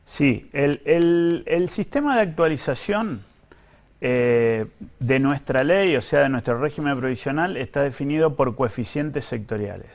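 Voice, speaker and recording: A man with an Argentine accent speaking as an official in an interview, explaining things in a careful, measured, technical way. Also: mid-range voice, a little mellow. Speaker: government pension official being interviewed.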